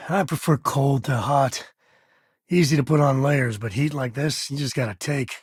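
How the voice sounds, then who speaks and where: Guy doing a easygoing space cowboy game companion, super husky voice, folksy dry humor, real dry studio sound. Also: mid-range voice, moderate pace, no room sound, close to an everyday voice. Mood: casual, wry. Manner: folksy, relaxed phrasing with dry humour. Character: easygoing male space cowboy, devoted father and loyal companion. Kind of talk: video game voice acting. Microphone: close dry studio mic.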